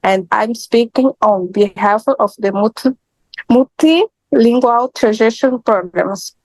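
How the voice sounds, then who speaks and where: A woman of twenty, a native Portuguese speaker, giving halting public comment in learner's English over a video call. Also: fairly high pitch, a little mellow, no room sound, low-quality recording. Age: 20s.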